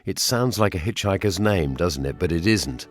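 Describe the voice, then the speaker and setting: A man's voice: low voice, animated, normal speed, faint music behind. A middle-aged British man presenting a radio documentary in scripted narration.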